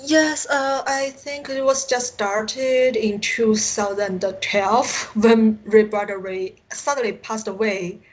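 A woman from China with a Chinese accent, a native Chinese speaker telling stories as an interview guest in halting English, searching for words and often chuckling. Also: on the high side, fairly even intonation, poor audio. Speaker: interview guest, science fiction writer and translator. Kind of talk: casual interview storytelling. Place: remote internet call.

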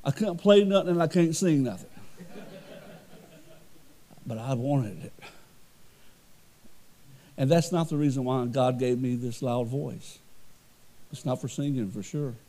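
Loud, earnest preaching man. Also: medium pitch, a little slow, long pauses. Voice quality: loud voice that carries. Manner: earnest, conversational preaching with repeated restarts. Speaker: pastor. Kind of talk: sermon.

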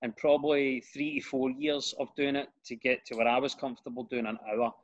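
A man's voice: medium pitch, level tone, a little fast, dull audio. Glasgow guy, comedian, chatting easy and self-mocking, mid-range voice. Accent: Glaswegian. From Scotland. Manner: storytelling, self-deprecating. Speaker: comedian guest. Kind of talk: casual chat.

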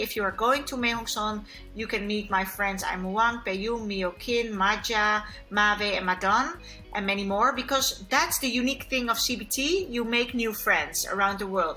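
A woman born in Kenya, answering interview questions about tourism in an earnest way, with many pauses and restarts. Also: mid-range voice, pushed and bright, rough recording. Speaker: guest, sustainable tourism expert.

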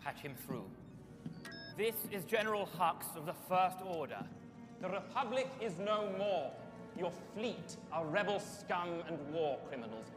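A sneering villain general from a space opera film, pompous and clipped. His voice is fairly high and rings in a long hall reverb under a loud score. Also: animated, measured pace, music in the background. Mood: ranting contempt.

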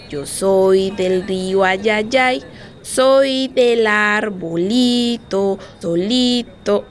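An actress playing a wistful, dreamy eight-year-old girl in a radio play, telling her story in a childlike, expressive way. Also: medium pitch. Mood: defiant, dreamy. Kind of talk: radio theatre monologue. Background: music.

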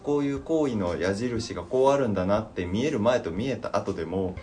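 Casual male podcaster, low animated voice, thinks aloud over background music. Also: brisk. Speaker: podcast member discussing a voice drama plan. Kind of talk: casual creative brainstorming chat.

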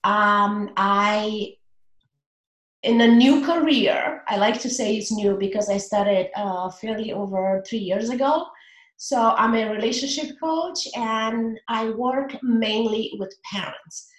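Romanian-accented woman in an echoey room. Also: mid-range voice. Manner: earnest, halting with fillers. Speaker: relationship coach. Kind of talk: casual interview talk.

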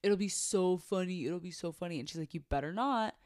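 A young woman co-hosting a chat show with a stuffed-up, congested nose. Her voice sits a little low and stays smooth. She talks in an opinionated, chatty way, recorded dry and close. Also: fairly quick, darkish tone, no echo. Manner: chatty, opinionated, laughs often. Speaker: podcast co-host. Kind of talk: casual chat.

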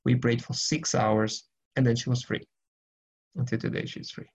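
A man's voice: medium pitch, steady tone, moderate pace, husky. A man, leading an online Bible study, speaking earnestly with many restarts, then praying. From Brazil. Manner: earnest, rambling, frequent restarts and fillers. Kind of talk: casual teaching and prayer in an online group session.

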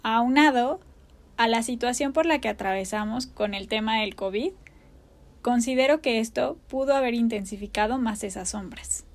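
Mexican woman, 30s, podcast host, slightly high voice, talks sincere and open. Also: normal speed. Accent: Mexican Spanish. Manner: open, earnest, warm self-introduction. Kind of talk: personal podcast monologue.

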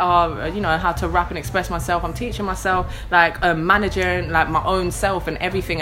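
A woman's voice: fairly low pitch, a bit bright. A London woman in her late twenties, a rapper and DJ, chatting frankly in an interview and laughing often. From London, England. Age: late 20s. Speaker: rapper and DJ guest. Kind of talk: casual interview chat.

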